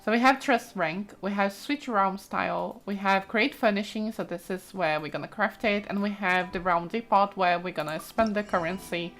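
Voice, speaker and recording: A woman's voice: mid-range voice, normal speed, few pauses, a bit bright, faint music behind. A woman giving casual, chatty let's play commentary, thinking aloud with frequent exclamations as she explores a game. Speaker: gaming streamer, let's play host.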